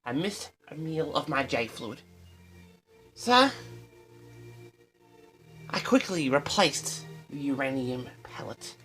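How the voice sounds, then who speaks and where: Man doing a pompous eccentric diarist, wildly swooping pitch. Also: sing-song, slow pace, long pauses, bright, forceful tone, faint music behind, full character voice. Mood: grumbling, aggrieved. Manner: pompous, theatrical, indignant. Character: pompous, easily affronted eccentric man keeping an audio diary. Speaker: audio log host. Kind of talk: comic rambling monologue to an audience.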